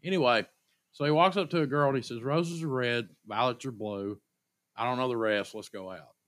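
A man in his forties with an Eastern Kentucky accent co-hosts a podcast. His voice is bright and forceful, and he keeps cutting in with dry jokes. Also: mid-range voice, a little fast. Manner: dry joking interjections. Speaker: podcast co-host.

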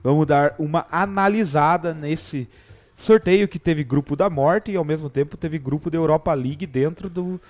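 Higher-pitched Brazilian man hosting football chat. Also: normal speed, darkish tone. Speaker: host of a football talk show. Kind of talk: casual sports chat on a live stream. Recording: background music behind the voice.

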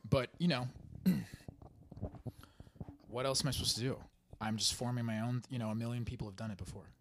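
A man's voice: medium pitch, steady tone, fairly quick, slight background noise. A man in his twenties talking about his acting career in a casual podcast chat, hesitant and full of fillers. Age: 20s. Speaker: actor and podcast guest.

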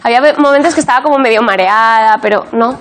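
Madrid actress guest, fairly high voice. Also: female voice, quick pace, a bit bright. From Madrid, Spain. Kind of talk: talk show interview. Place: TV studio with audience.

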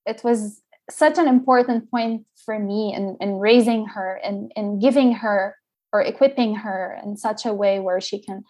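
A woman's voice: mid-range voice, fairly even intonation, moderate pace, darkish tone, low-quality recording. A middle-aged woman from Liberia, a scholar being interviewed, speaking reflectively with frequent fillers and restarts. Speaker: guest scholar. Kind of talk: interview conversation.

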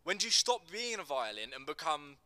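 A man's voice: a little high, sing-song, a little fast, pushed and bright, dry recording, voice pushed up. A man acting an earnest gangster who explains things with emphatic passion in a dramatic screenplay reading. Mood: earnest, animated. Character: earnest Teddy Boy gangster, passionate non-binary teacher.

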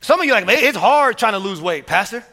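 A man preaching a sermon as pastor to his church, energetic and emphatic, often repeating words as he builds to strong points. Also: sits high, quick pace. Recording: long hall reverb.

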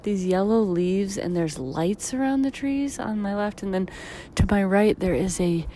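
A tired woman in her thirties, hosting her own podcast, reflecting in a rambling, casual way while walking outdoors on a street, her voice a little deeper and husky. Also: lively intonation, unhurried, warm tone, a little hiss or hum. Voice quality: slightly deep, husky from tiredness. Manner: reflective, rambling, many fillers. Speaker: podcast host. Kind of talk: casual solo podcast monologue.

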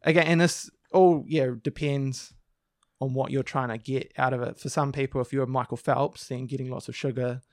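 A man with a croaky, gravelly voice talks at length on a casual podcast, often restarting his sentences. The recording is dry and close, with no room echo. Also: medium pitch, fairly even intonation, normal speed. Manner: talkative, explains at length with many restarts. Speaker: podcast co-host. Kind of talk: casual discussion.

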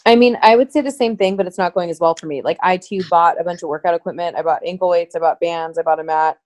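A young woman talks as a guest in casual chat, bluntly and loudly, swearing freely. Her voice sits slightly low. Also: steady tone, quick pace.

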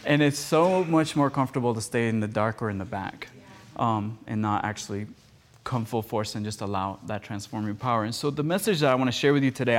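A man in his thirties, from Costa Rica, whose first language is Spanish. He talks in a mid-range voice with an earnest, conversational flow, full of fillers and restarts. He is recorded in a podcast studio. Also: mid-range voice. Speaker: podcast producer and guest speaker.

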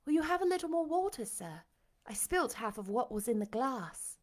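A woman voicing a tender, devoted young woman in an audiobook, soft-spoken, respectful and reassuring. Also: on the high side, sing-song, moderate pace. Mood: soft, composed. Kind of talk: audiobook character acting.

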